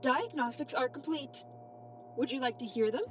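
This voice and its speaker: Lady doing a calm ai helper, high female voice, formal and measured, noisy mic, fan audio drama. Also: lightly acted. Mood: calm, informative. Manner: measured, formal status reporting. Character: calm, helpful female AI assistant in a superhero suit. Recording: clearly noisy home recording.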